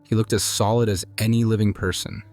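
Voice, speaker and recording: A man calmly narrating true scary stories in a measured, hushed scripted style. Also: lowish voice, soft background music. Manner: calm, measured, hushed storytelling. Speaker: narrator reading viewer stories. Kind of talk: scripted horror story narration.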